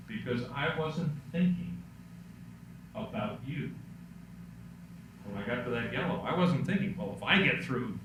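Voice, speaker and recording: Middle-aged man, deep voice, relaxed workshop presenter with dry jokes; distant mic, noisy room. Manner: relaxed storytelling with self-deprecating jokes. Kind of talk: public speaking workshop Q&A. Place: lecture room. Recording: echoey room, distant mic, background noise.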